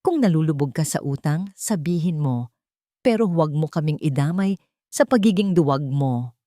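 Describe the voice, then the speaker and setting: A woman's voice: low-pitched, animated, moderate pace, warm tone, no room sound, clean studio sound. A woman storyteller voicing an indignant woman who confronts her partner with pressing questions in an audio drama. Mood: indignant, hurt.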